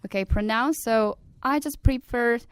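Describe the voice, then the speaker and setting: A woman's voice: on the high side, a little slow, clear, resonant tone, no room sound. A woman from South Korea in her thirties, a native Korean speaker, chatting on a podcast in careful, polite English with a Korean accent. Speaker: podcast guest co-host. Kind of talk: casual podcast chat.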